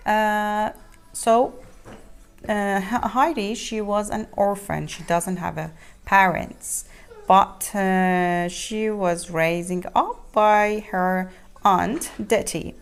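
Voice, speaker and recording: Woman, slow pace, medium pitch, halting explanatory storytelling, children's read-aloud. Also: fairly even intonation, creaky, smooth. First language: not English. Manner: explanatory, halting, repeats and rephrases words.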